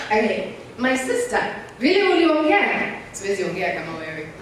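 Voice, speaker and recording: Echoey, high-voiced woman giving a talk. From born in Nairobi, Kenya, partly raised abroad. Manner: conversational storytelling, addresses listeners directly. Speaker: guest speaker and mentor. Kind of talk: motivational talk to young people at church. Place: large echoey indoor church space. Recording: very echoey hall, distant mic, faint noise.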